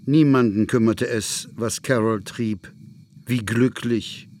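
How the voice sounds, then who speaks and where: Slow, sombre villager. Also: male voice, medium pitch, steady tone, darkish tone. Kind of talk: literary radio drama narration.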